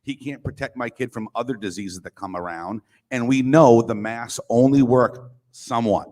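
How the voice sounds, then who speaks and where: A man with a loud voice giving impassioned public comment at a school meeting, forceful and emphatic. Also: medium pitch, normal speed. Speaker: parent speaking at public comment.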